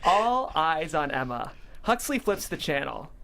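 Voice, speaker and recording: Lively woman reading stage directions. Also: low-pitched, measured pace. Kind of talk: scripted narration at a comedy table read.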